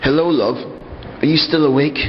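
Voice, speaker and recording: A man's voice: fairly high pitch, fairly even intonation, normal speed, highs cut off, lightly acted. A man playing a dutiful, tender king in a radio play of Greek myth, speaking solemnly in formal old-fashioned diction. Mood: grave, tender. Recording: reverberant hall sound, clear music behind.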